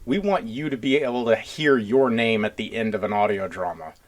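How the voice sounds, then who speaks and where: A man's voice: medium pitch, a little fast. A man hosting a casual podcast, talking in an earnest, rambling, blunt blue-collar way. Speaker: podcast host.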